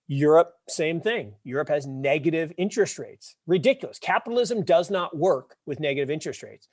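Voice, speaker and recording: A man in his fifties, a podcast guest, telling wry stories and explaining ideas with emphatic, animated delivery. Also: on the high side, no echo, studio quality. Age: 50s. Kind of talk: casual interview talk.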